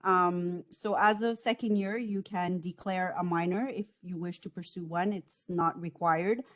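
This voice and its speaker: A woman from student affairs explaining program rules on a video call, clear and slightly halting. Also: on the low side, fairly even intonation.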